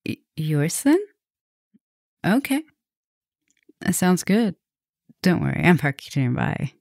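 A woman playing a flirtatious, softly dominant vampire professor in an ASMR roleplay, intimate and teasing, sometimes dropping to a whisper. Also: on the low side, big pitch swings, slow, no room sound, studio quality, heavily acted. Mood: teasing, flirty. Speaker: roleplay audio performer.

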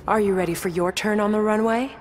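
A self-assured superheroine from a video game acted by a woman. She is poised and teasing, mid-pitched, with music playing behind her. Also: fairly even intonation, fast, natural voice. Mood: confident, teasing. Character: self-assured female superhero who channels animals. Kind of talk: video game character acting.

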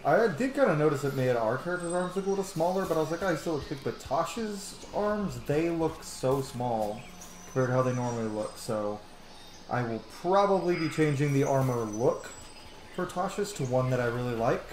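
A man's voice: on the high side, expressive, normal speed, darkish tone, a little hiss or hum. Man in a roomy space, musing over a game. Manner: musing aloud to himself. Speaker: gamer streaming a roleplay playthrough. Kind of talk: casual game commentary. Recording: roomy echo, game music underneath. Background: video game music.

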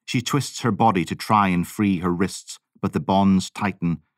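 A man's voice: deep voice, moderate pace, no echo, studio quality. A man giving a dramatic scripted narration as an audiobook narrator. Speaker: voice-over artist and audiobook narrator.

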